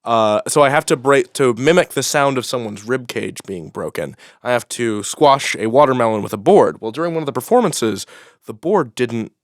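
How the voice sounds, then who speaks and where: A man's voice: mid-range voice, expressive, moderate pace, no echo. Guy doing casual podcast banter, jokey storyteller, mid voice, really dry close sound. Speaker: live Foley artist for an audio drama. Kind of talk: casual group interview banter.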